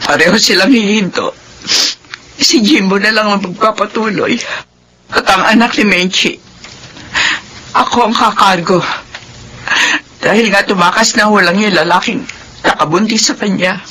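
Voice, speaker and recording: A woman's voice: mid-range voice, normal speed, pauses often, pushed and bright, breathy edge, poor audio. An actress playing a hot-tempered, betrayed middle-aged mother in a Filipino radio melodrama, scolding and wailing with a Filipino accent. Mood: wounded, resolute. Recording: radio drama with music underneath.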